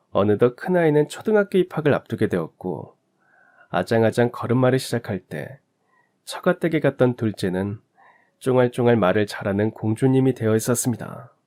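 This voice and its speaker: A man narrating a family story in a calm, reflective, confessional way. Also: mid-range voice. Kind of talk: scripted radio story narration.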